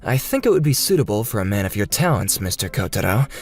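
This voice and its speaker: A man voicing a businesslike corporate recruiter with a formal, measured manner in a comic dub. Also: lowish voice, very animated, voice pushed down. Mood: persuasive. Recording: music bed behind the voice.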